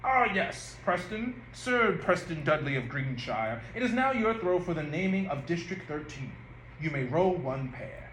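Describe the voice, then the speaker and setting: A grand, self-important lord holds forth in a slow, lofty drawl, as a man puts him on. His voice is raised in pitch and pompous in manner. It sounds roomy, with noise in the background. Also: very animated, a bit bright. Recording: roomy and noisy rehearsal room.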